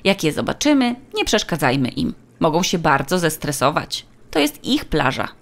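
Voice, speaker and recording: A woman's voice: low voice, big pitch swings, normal speed, pitched above the performer's own voice. A woman voicing a helpful, polite female tour guide who explains things in a children's audiobook. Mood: explaining.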